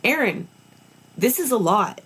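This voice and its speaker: A woman's voice: medium pitch, sing-song, a little slow, a bit bright, husky. A woman hosting a podcast interview, blunt and indignant, often restarting her words. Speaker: podcast host.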